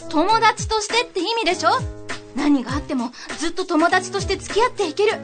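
A trainee voice actress playing an exasperated but affectionate young woman in a conversational radio drama, earnest and quick to retort. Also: very high-pitched, animated, music in the background. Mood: indignant.

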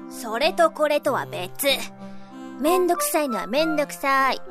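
A woman playing an outspoken, sharp-tongued young female official in a radio drama, speaking bluntly and forcefully. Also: high-pitched, sing-song, normal speed. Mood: grumbling. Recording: roomy echo with faint music.

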